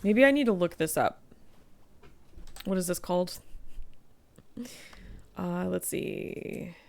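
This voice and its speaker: A woman's voice: fairly low pitch, expressive, slow, vocal fry. A tired, slightly sniffly woman streaming a game, chatting casually, reading chat aloud and laughing often. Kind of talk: casual gaming livestream chat.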